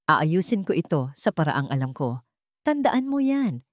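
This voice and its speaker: A woman's voice: low voice, very animated, measured pace, dry recording, studio quality. A woman voicing a rich older mother in a drama story, imperious, indignant and threatening. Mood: menacing. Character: haughty, threatening rich older matriarch, scornful.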